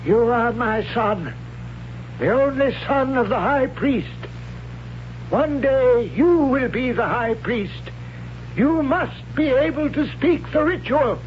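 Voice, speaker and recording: An actor playing a stern high priest and father in a 1970s radio drama, reciting solemnly and commandingly. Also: animated, slow, lo-fi sound, slight background noise, heavily acted. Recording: band-limited old radio broadcast, music bed.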